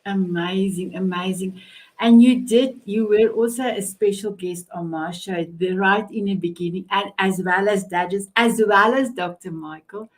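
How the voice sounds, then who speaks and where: A woman's voice: mid-range voice, moderate pace, low-quality recording. A woman with a South African accent and Afrikaans as her first language, hosting an online panel conversation in an effusive, complimentary way. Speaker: host of an online talk show.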